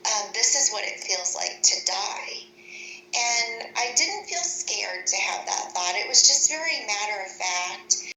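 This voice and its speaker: A woman with a very bright, forceful voice at middling pitch tells her story as an interview guest. She is deliberate and matter-of-fact. The room echoes around her, with faint noise underneath. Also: animated. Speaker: interview guest recounting a personal experience.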